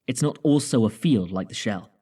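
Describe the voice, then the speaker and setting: Assured space scientist, mellow mid-range voice, reasoning delivery. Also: male voice, medium pitch, expressive, moderate pace, husky. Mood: confident, reasoning. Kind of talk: audio drama acting.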